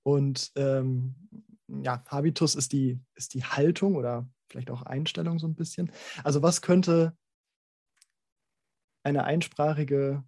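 A man's voice: fairly high pitch, a little mellow, no echo. Playful male podcast co-host, dry sound. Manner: playful, thinks aloud while guessing. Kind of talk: casual podcast chat.

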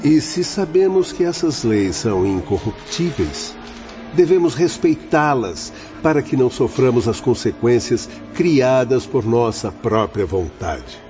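Man playing patient moralizing doctor, Brazilian accent, medium pitch, measured formal delivery, radio drama, dull audio. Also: expressive, close to an everyday voice. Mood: firm, explaining. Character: earnest male spirit doctor, patient and moralizing. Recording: dull lo-fi audio under music.

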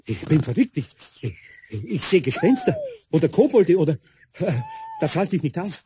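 A man's voice: sits high, huge pitch swings, normal speed, darkish tone, slight background noise. A man playing a good-natured, grumbly, bewildered older master carpenter with a Bavarian accent in a radio play. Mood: flustered.

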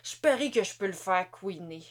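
A woman's voice: medium pitch, expressive, moderate pace, close to an everyday voice. A woman voicing a brutal male soldier who sneers menacingly while holding a combat knife.

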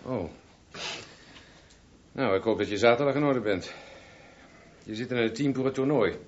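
A slightly noisy, dull radio play recording features a man as a swimming coach. He sounds brisk and watchful, with a medium-pitched voice. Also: close to an everyday voice. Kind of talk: radio drama acting. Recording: muffled, faintly noisy radio drama.

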